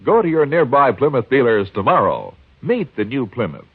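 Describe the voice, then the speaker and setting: An American man reading a 1950s radio commercial as an announcer, in brisk instructional sentences. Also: medium pitch, very animated, breathy edge, dry recording. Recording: tinny, narrow-band old radio recording.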